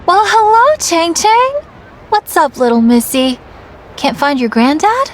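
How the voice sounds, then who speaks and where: A woman's voice: extremely high pitch, expressive, measured pace, a little hiss or hum. A woman playing a friendly, caring festival craft worker in a video game, breezy and motherly in manner. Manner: breezy, motherly toward children.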